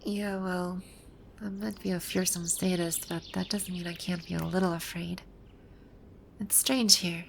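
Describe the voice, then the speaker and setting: A woman playing a proud, teasing video game villainess come to life, speaking softly in an intimate ASMR roleplay. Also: on the low side, steady tone, a little slow, slight background noise. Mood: shy, vulnerable. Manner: smug, teasing, soft-spoken with breathy asides. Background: birdsong and outdoor ambience sound effects.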